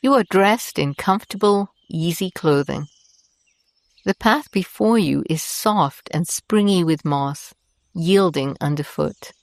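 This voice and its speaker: A woman with a low voice guides a meditation at a very slow pace. Her delivery is gentle, soothing and deliberate. The sound is dry and close, with no room echo. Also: animated.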